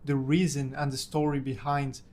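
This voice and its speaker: A man's voice: mid-range voice, level tone, normal speed, darkish tone. A young man from Portugal, a native Portuguese speaker, casually telling a personal story about himself in English. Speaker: language-learning content creator.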